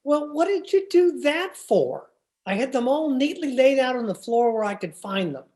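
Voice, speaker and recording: A woman's voice: high-pitched, animated, normal speed, a little mellow. A woman playing a grumbling, suspicious young banker husband in an old-time radio sitcom style. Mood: exasperated.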